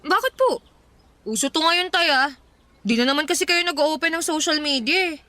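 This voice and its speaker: A woman's voice: high voice, lively intonation, normal speed, pushed and bright, no room sound. An actress playing a stubborn, heartbroken woman in her twenties in a radio drama, talking casually and emotionally, respectful to her father. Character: heartbroken, stubborn young woman of twenty-five, defiant daughter. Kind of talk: Tagalog radio drama acting.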